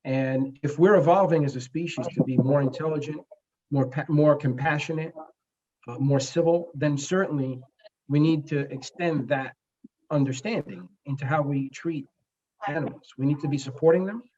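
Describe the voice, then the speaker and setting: Higher-voiced man, halting and earnest, dull audio. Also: darkish tone. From the Bronx, New York, United States. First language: Spanish. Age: 40s. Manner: earnest, halting, frequent pauses mid-phrase. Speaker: guest, comic book creator. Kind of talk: conversational interview.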